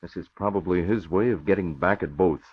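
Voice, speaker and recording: An actor playing a brisk, shrewd newspaper boss with a crisp, commanding manner in an old radio drama. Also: male voice, deep voice. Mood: grave, resolved. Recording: muffled vintage radio recording.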